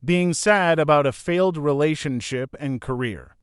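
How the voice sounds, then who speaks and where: A man reading forum posts and replies aloud in a steady, even narration. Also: on the high side, moderate pace, smooth. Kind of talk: scripted narration.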